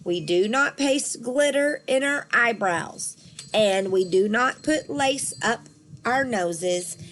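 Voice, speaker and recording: A woman reader plays a patient but firm schoolteacher in a children's storybook. She addresses her young class in a measured, instructive way. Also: fairly high pitch, expressive, a little hiss or hum.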